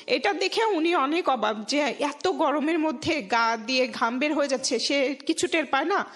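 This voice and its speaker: A woman's voice: high voice, animated, moderate pace, fairly bright tone. A woman with a Bangladeshi accent telling a personal story conversationally as a radio guest. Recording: long hall-like reverb.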